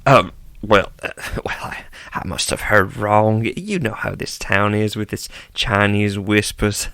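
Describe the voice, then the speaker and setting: A flustered small-town priest stammers in a lowish male voice. He is evasive and bumbling, in radio drama style with a dry recording. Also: a little slow, gravelly, a bit bright, no echo.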